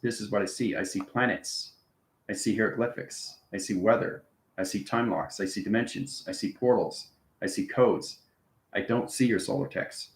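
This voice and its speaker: A man giving an informal spiritual lesson to viewers in fragmented, jargon-heavy phrases, often checking in with short tag words. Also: mid-range voice. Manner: esoteric jargon in fragmented phrases with frequent check-ins like "okay" and "right". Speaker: online spiritual teacher. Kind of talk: informal explanatory demonstration to viewers. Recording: roomy, echoing sound.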